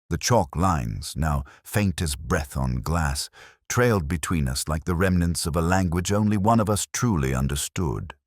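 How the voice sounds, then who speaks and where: Male narrator for detective fiction: extremely low register, expressive swings in pitch, solemn and measured, dry studio sound. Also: dry recording. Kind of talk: scripted first-person literary detective narration.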